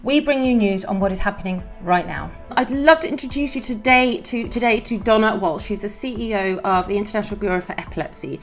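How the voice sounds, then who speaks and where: A woman hosts an interview and presses her points with concern and emphasis. Her voice sits in the middle pitch range, and faint music plays softly behind her. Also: a little fast, few pauses. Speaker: host. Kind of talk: video interview.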